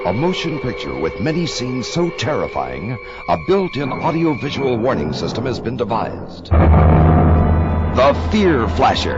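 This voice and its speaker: A man narrating a vintage horror movie trailer as an emphatic, dramatic announcer. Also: on the low side. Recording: dull old promo record, loud music.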